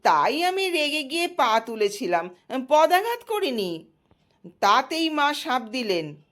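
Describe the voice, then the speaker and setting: A woman's voice: sits high, sing-song, slow pace, fairly bright tone, exaggerated voice. A woman storyteller voicing an aggrieved boy god who pleads earnestly. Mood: aggrieved, pleading. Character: aggrieved boy god complaining to his father.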